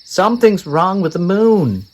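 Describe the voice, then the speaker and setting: A man voicing an eager, clever young boy space explorer who explains things in a high, excited voice. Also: unhurried, a little hiss or hum. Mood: worried.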